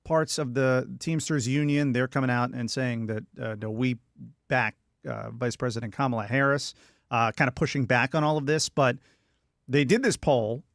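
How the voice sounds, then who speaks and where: A man hosting a radio show, giving casual news commentary in a conversational, halting way with repeated words, close on a studio mic. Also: mid-range voice, fairly even intonation, no room sound. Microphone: close studio microphone.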